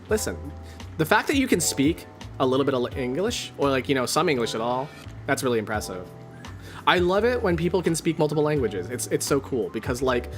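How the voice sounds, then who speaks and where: A man from Canada with a General American accent, chatting casually on a stream and rambling with lots of "like". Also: medium pitch. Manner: rambling, heavy use of "like".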